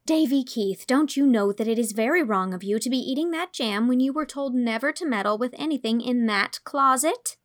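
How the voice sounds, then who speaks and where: A woman's voice: on the high side, normal speed, few pauses, no echo, voice pushed up, close to an everyday voice. A woman voicing an eager young woman. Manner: eager, earnest.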